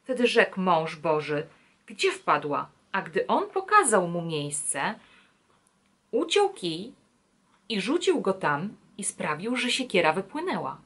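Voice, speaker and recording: Woman in her thirties, Bible lesson presenter, storytelling read-aloud, animated pitch, addressing children. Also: takes it slowly, slightly rough. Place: small indoor room at home.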